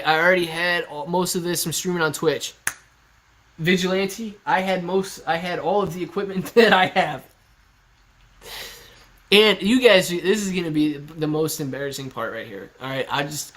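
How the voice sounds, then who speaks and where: A man in his early thirties with a somewhat high voice, hosting a relaxed live stream from his living room. He reads the chat aloud in a laid-back, chatty way and laughs easily. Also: normal speed, slight background noise. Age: 30s. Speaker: stock livestream host. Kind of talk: casual live-stream chat reading viewer comments. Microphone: laptop on a kitchen stool at eye level.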